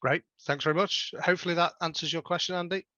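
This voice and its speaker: A man's voice: on the high side, steady tone, fast talker, sharp tone, no room sound, muffled recording. A man hosting a webinar, politely reading out audience questions and thanking the speaker. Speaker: webinar host.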